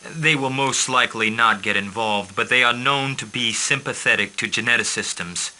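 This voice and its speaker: An actor playing a commanding mission controller who gives clipped, formal orders in a game cutscene. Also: male voice, medium pitch, normal speed, bright, forceful tone, poor audio.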